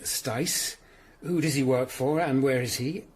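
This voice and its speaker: A man's voice: medium pitch, a little slow, husky. A man acting in a radio drama as an earnest, courteous, probing Tudor lawyer-investigator. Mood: earnest, deliberate.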